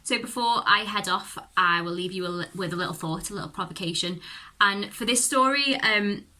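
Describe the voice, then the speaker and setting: A woman's voice: mid-range voice, fairly quick, pushed and bright. A woman talking casually and reflectively to viewers, hesitant as she thinks aloud. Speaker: performer reflecting on a story.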